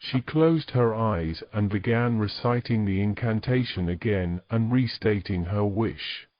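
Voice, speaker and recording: A man's voice: lowish voice, a little slow, dry recording, dull audio. A man reading scripted narration for a romantic fantasy story as its narrator.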